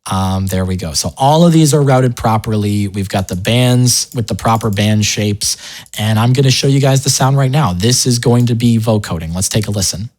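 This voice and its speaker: Low-pitched man giving a casual tutorial. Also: moderate pace, a little mellow. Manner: informal, explanatory, talking through each step. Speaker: tutorial host. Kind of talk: casual software tutorial narration.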